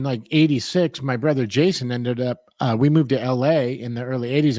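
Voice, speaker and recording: A man's voice: medium pitch, a little mellow, dry recording, studio quality. A middle-aged man from San Diego hosting a podcast, rambling in a laid-back way and often adding "man". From San Diego, USA. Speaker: podcast host. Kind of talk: casual interview chat.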